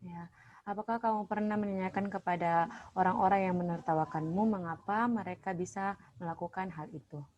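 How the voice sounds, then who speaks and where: A woman playing a counselor in a role-play, calm and gently probing over an online call. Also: on the low side, a little fast. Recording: dull lo-fi online call with faint noise.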